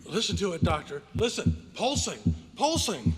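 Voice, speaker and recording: A man acts out a panicky young intern in an old radio play. The voice is very high for a man and husky, stammering and pleading with big swings of pitch in a reverberant hall. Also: huge pitch swings, moderate pace, full character voice. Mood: horrified. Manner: stammering, pleading, breathless urgency. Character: frightened young male intern, deferential and panicky. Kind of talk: old-time radio drama recreation. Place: hotel convention meeting room. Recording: echoey hall, live stage performance.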